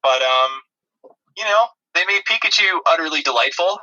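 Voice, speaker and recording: A man's voice: a little high, expressive, normal speed, bright, forceful tone, muffled recording. A man co-hosting a podcast, chatting casually about films with wry, dry-humoured asides. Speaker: podcast co-host. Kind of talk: casual movie review chat.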